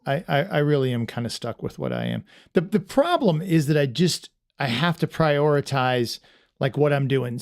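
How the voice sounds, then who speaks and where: Gravelly man hosting a rambling livestream. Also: fairly high pitch, darkish tone. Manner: rambling, self-deprecating, many restarts. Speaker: podcast host. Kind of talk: casual livestream chat.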